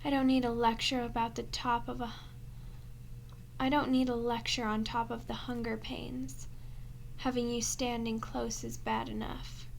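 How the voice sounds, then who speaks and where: A woman acting a starving, captive vampire in an ASMR roleplay, proud and flirtatious, with teasing sarcasm that often drops into breathy murmurs. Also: on the high side, little pitch movement, a little slow, slight background noise. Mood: sarcastic, hungry.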